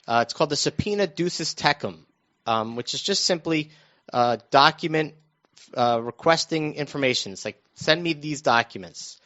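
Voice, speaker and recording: A muffled, lo-fi recording of an American man in middle age with a slightly high voice. He chats casually as a show panelist, recounting things in a halting way with repeated restarts. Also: expressive, fairly bright tone. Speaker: radio show panelist recounting his own news. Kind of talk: casual conversational storytelling. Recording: dull, lo-fi muffled sound.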